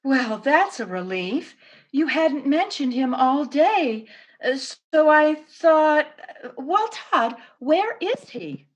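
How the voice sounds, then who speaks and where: A woman's voice: high-pitched, lively intonation, takes it slowly. A woman playing an anxious, affectionate sweetheart in an old-time radio drama. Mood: concerned, probing. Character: anxious, affectionate woman worried about a missing man.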